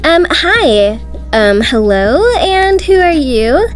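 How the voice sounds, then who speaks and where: High-pitched young woman acting out teen drama. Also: big pitch swings, slow. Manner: slangy, dramatic teen-girl delivery. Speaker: video creator voicing all characters of a school roleplay story. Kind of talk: amateur voiced roleplay acting, casual teen dialogue. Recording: music behind the voice. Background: background music.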